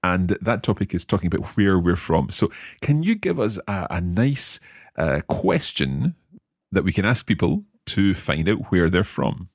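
A man hosting a language lesson, explaining words step by step and repeating phrases slowly. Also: low voice, sing-song, vocal fry, husky, no echo, studio quality. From Scotland. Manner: friendly, explains step by step, slow careful repetition of words.

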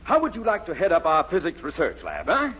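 Excitable grandiose dean, high swooping delivery, old radio comedy, muffled. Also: male voice, fairly quick. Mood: proud, pompous. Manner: pompous, effusive, grandiose. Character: pompous, gushing, excitable male university dean. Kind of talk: 1940s radio comedy acting. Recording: muffled, noisy 1940s radio recording.

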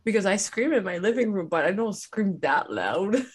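A young woman co-hosting a podcast in casual chat, hoarse from losing her voice, laughing often as she tells stories. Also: mid-range voice, normal speed. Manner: laughs often, animated storytelling. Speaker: podcast co-host.

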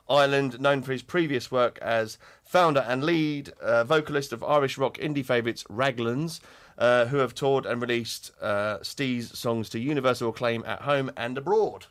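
A man's voice: medium pitch, moderate pace, fairly bright tone. A man co-hosting a podcast in casual chat, rambling and correcting himself as he reads out a guest bio. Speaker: podcast co-host.

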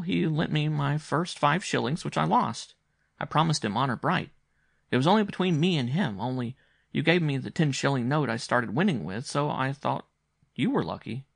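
A man's voice: a little high, creaky, dry recording, studio quality, pitched above the performer's own voice, heavily acted. A man voicing an earnest, intense young boy in a story, speaking in a grave, insistent, polite way. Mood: pleading.

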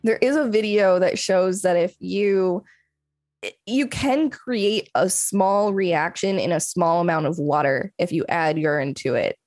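A woman's voice: fairly low pitch, a little slow, darkish tone, no room sound, studio quality. A woman co-hosting a podcast, moving between casual chat and reading an article aloud. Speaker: podcast co-host.

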